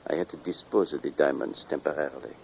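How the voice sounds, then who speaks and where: An actor playing a sly French thief with a French accent, speaking formal, deliberate broken English with polite menace in a 1940s radio crime drama. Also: male voice, extremely low pitch, creaky, a little mellow, slight background noise, rough recording. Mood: smooth, scheming. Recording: vintage 1940s radio recording.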